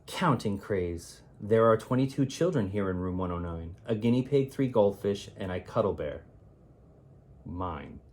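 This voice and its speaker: A man reading children's rhymes aloud as a teacher would, in an expressive, theatrical way with drawn-out, emphatic words. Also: lowish voice. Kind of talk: expressive children's read-aloud of rhyming poems.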